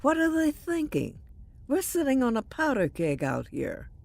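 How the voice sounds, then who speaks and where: A woman's voice: high-pitched, huge pitch swings, unhurried, gravelly, a little mellow, no echo, full character voice. A woman playing an elderly starship captain in a science fiction audio drama, wistful and wry, with a dramatic, emphatic delivery. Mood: indignant.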